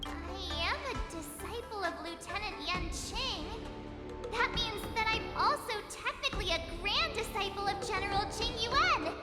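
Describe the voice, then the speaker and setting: A perky teenage girl from a video game, a novice fighter with a very high, girlish voice. She is dramatic and wavers between bravado and nerves. Loud game music plays behind her. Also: normal speed. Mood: proud, determined. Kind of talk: scripted video game voice acting.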